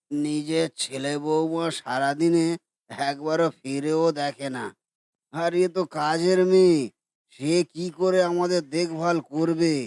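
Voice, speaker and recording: A man's voice: on the high side, a little mellow, dry recording. A man voicing a weary old grandfather in a cartoon, speaking in a resigned, reflective way. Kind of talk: cartoon dubbing.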